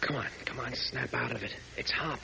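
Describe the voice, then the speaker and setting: An actor playing a steady young male ace pilot hero in a 1940s radio drama, calm and clipped. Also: mid-range voice, moderate pace, vocal fry. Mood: urgent. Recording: noisy 1940s radio transcription.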